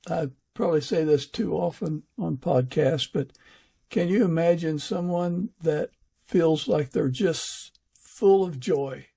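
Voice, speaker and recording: A man hosting a podcast, chatting casually with a guest and pausing often mid-sentence. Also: on the high side, creaky, dark tone, husky. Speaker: podcast host; fiction author. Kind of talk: casual interview chat.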